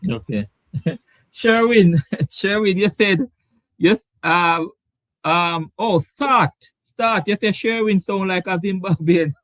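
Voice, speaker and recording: A man's voice: high-pitched, slow, a bit bright, no echo. A man hosting a casual cricket chat show, teasing his guests and laughing often. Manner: laughing, teasing, repeats words. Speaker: show host.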